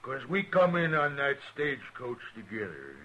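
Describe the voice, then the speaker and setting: A man's voice: mid-range voice, sing-song, normal speed, fairly bright tone, muffled recording. A man playing a huge, boastful red-haired brawler in a 1950s radio drama, with a taunting frontier drawl. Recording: old radio broadcast.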